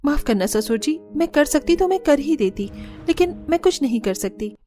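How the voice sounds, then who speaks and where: A woman's voice: high-pitched, expressive, moderate pace, mellow, faint music behind. A woman voicing a firm but caring young daughter-in-law who reasons earnestly in a cartoon story. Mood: firm refusal. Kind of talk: Hindi cartoon story dialogue.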